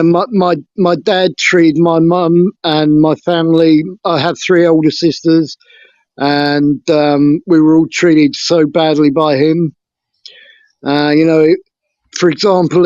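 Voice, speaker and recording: Man, higher voice, dull call audio. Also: a little mellow, dry recording. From England. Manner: frank, hesitant recounting of his life. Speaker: interview guest. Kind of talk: casual interview talk.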